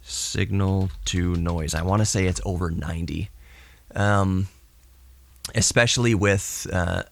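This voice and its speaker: A man's voice: deep voice, fairly even intonation, slow, gravelly. A man hosting a podcast in a casual, rambling technical monologue with a hobbyist's enthusiasm, recorded to cassette tape. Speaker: podcast host.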